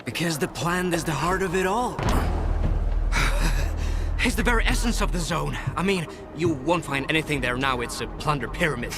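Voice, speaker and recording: An actor playing a carefree, philosophical drifter in a video game cutscene, musing in a familiar, wistful way. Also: male voice, on the high side, expressive, normal speed, noisy background. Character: wistful, carefree male drifter devoted to the Zone.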